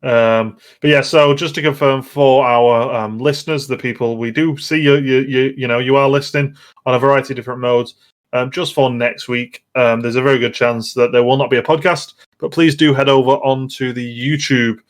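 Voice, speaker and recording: A man's voice: medium pitch, steady tone, moderate pace. A man hosting a casual podcast chat, rambling along with light banter. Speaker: podcast host.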